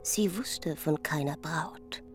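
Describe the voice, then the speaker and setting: Slow-paced woman narrating over marimba music. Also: low voice. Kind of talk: literary radio drama narration.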